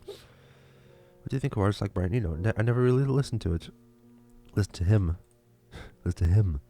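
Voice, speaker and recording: A man's voice: on the low side, lively intonation, normal speed, warm tone, faint music behind. A young man from Lithuania with a Lithuanian accent, chatting casually on a livestream and answering viewer questions, with many fillers and restarts. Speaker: livestream host.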